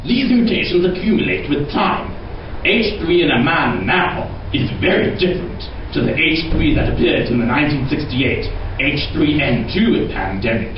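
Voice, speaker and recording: Young man as a high-pitched, excitable puppet scientist. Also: normal speed, natural voice. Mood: explanatory. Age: young adult. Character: excitable male scientist puppet, keen lecturing explainer. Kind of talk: amateur educational puppet show, scripted explanation. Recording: very echoey room, distant dull noisy microphone.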